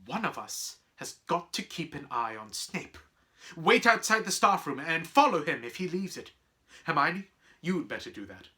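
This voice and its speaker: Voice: medium pitch, big pitch swings, normal speed, bright, forceful tone, voice pushed up. A storybook reader voicing an earnest, headstrong young boy who talks urgently and stumbles over words.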